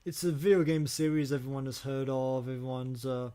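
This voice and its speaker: A man co-hosting a casual podcast about movies, talking in halting, hesitant phrases with casual swearing. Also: mid-range voice, fairly even intonation, warm tone, clear, resonant tone. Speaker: podcast co-host.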